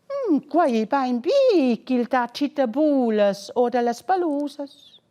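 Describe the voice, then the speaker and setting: A woman's voice: high voice, sing-song, takes it slowly, dark tone, voice pushed up, heavily acted. A woman voicing a friendly, concerned female hare in a children's storybook, sympathetic and questioning.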